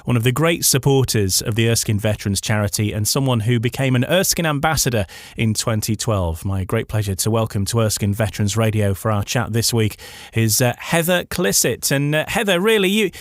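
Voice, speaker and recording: A man's voice: medium pitch, lively intonation, brisk, no echo, clean studio sound. A Scottish man hosting a radio interview, introducing and questioning his guest in a friendly, slightly hesitant way with repeated words. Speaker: radio host.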